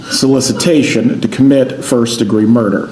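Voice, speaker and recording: A man with an American accent speaking as a judge in formal court proceedings, in a measured, deliberate way. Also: mid-range voice, steady tone. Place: indoor courtroom. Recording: roomy courtroom sound with faint noise.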